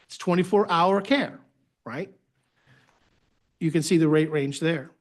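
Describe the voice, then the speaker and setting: A man's voice: fairly high pitch, a little slow. A man with a Boston accent, giving a conversational presentation to a board at a public meeting, with phrase ends that trail off. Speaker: agency director presenting to a board.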